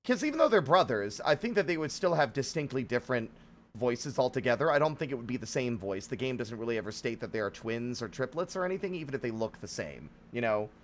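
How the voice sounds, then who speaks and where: A man gives casual live commentary on a game. He rambles and questions himself, swearing freely, with a pitch that moves around a lot. Music plays behind him. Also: sing-song, fast talker. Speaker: game streamer.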